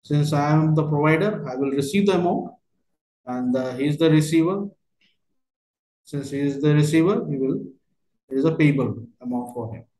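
A man working through a step-by-step software tutorial as a trainer, speaking in halting, fragmented phrases. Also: a little high. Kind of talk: step-by-step screen tutorial narration. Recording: roomy, echoey voice recording.

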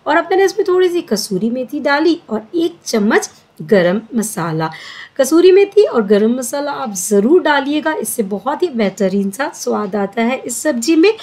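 A woman's voice: fairly high pitch, darkish tone. A middle-aged woman talking through a home recipe step by step in a chatty, casual way, with frequent filler sounds. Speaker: cooking vlogger. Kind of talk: home cooking voice-over. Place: home kitchen.

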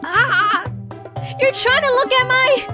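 A woman voicing a flustered, hot-tempered tsundere schoolgirl in a visual novel, shrill and indignant. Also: slow pace, loud music behind the voice. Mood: outraged, flustered.

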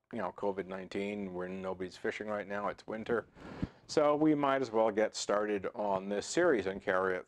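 A man in his sixties hosting a fly fishing channel, talking to camera in a relaxed, conversational way with frequent fillers and restarts, seated in a home office. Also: on the low side. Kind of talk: to-camera instructional talk. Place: home office with computer screens, indoors.

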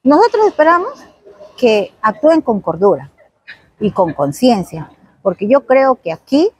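A Peruvian woman speaking in a news interview as a community leader. Her voice is raspy and slightly high, and she makes her points insistently and with emphasis. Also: very animated, unhurried, no echo, rough recording. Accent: Peruvian Spanish. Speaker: market traders' leader being interviewed. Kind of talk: spoken news interview answer.

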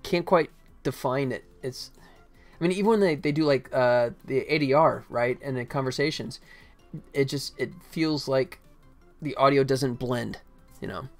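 A man's voice: mid-range voice, moderate pace, faint music behind. A middle-aged man chatting casually on a game developer livestream, rambling and jokey in a self-deprecating way, speaking into a desktop mic indoors. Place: indoor room at a computer. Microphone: desktop microphone.

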